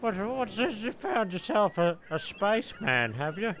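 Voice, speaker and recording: A man's voice: high-pitched, big pitch swings, unhurried, fairly bright tone, slight background noise, heavily acted. A man voicing a confused, forgetful old man who speaks hesitantly in a comic audio play. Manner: hesitant, repeating words. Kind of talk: comedic audio play acting.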